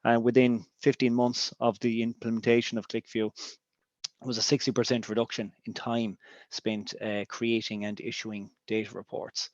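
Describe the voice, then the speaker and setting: A man's voice: medium pitch, level tone, normal speed, dry recording, highs cut off. A man from Ireland with an Irish accent, a native Irish speaker, giving a webinar presentation as a business intelligence director, with many ums and restarts.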